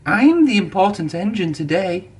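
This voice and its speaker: A narrator voicing Thomas, a small, eager, self-important young male engine in a storybook reading, pitched above the narrating voice. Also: sing-song, moderate pace, slightly rough. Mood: boastful. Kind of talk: storybook character voice.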